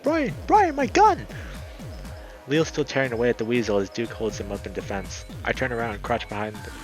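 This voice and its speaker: Low-voiced man narrating with drama. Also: fast talker, poor audio. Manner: dramatic, excitable, stumbles over words while reading. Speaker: streamer, host of a let's play. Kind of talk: expressive read-aloud of a visual novel. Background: background music.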